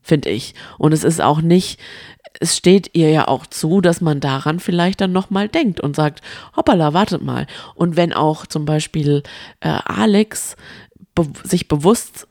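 Low-pitched woman chatting, close dry sound. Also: measured pace, darkish tone, dry recording. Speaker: podcast co-host. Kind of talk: casual chat about reality TV.

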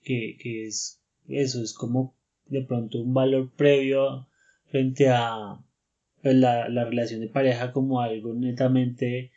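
A man hosting a talk, speaking in a measured way and often checking in with tag questions. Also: medium pitch, level tone, warm tone, muffled recording. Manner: measured, thinks aloud, frequent tag questions. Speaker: podcast host. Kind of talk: casual conversation.

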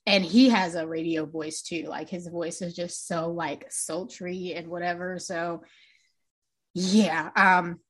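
A young woman co-hosting a music podcast in casual chat. She talks loosely, swears freely, laughs often, and calls her own voice pitchy and a little grating. She speaks close to a desk mic. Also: fairly even intonation, takes it slowly. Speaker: podcast co-host.

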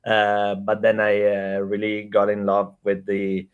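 A man's voice: lowish voice, completely flat delivery, unhurried, smooth, narrow, tinny audio. A man in his thirties from Italy with an Italian accent, talking through his career as an interview guest with many fillers and restarts. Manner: hesitant, frequent fillers and repeated words. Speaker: startup executive guest. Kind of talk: casual interview conversation.

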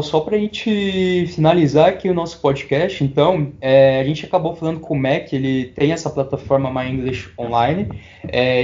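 A man with a Brazilian accent co-hosting a podcast in relaxed casual chat, with frequent filler pauses. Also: a little high. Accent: Brazilian Portuguese. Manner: relaxed, many filler "é" pauses, laughs easily. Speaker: podcast co-host. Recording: dull lo-fi sound.

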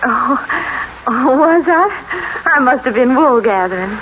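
Genteel wife for vintage radio drama: solicitous, evasive, hesitant, on a dull old recording. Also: female voice, high voice, animated, slow pace. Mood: nervous, evasive. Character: businessman's wife, sweetly attentive, nervously evasive. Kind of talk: 1940s radio drama acting. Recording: muffled old radio recording, faint noise.

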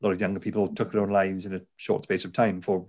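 A man's voice: sits low, level tone, fast, a little mellow. A man from South Wales with a Welsh accent, an author and neuroscientist talking in a casual, rambling interview with lots of fillers and restarts. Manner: chatty, frequent fillers and restarts. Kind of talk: conversational interview.

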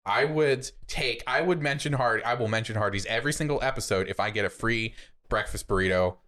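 A man's voice: mid-range voice, a little fast, a bit bright. A man with an American accent co-hosting a podcast in casual, playful banter, teasing and exclaiming as he talks. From United States. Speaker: podcast co-host.

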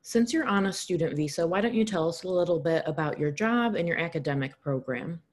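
A woman's voice: on the low side, expressive, fairly quick. A woman hosting an interview show, reading encouraging, scripted questions to her guest. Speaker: podcast host interviewer.

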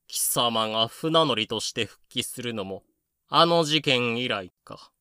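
A man's voice: medium pitch, expressive, unhurried, bright, forceful tone, smooth, dry recording, clean studio sound. A man playing a smug, haughty colonial governor in a comedic voice drama, talking in a pompous, sardonic way. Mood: mocking.

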